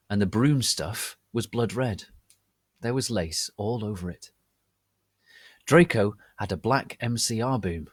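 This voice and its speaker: Male storyteller, lowish voice, unhurried, theatrical, very dry close sound. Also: breathy edge, dry recording. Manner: theatrical, deliberate. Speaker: narrator. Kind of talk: dramatic reading of fan fiction.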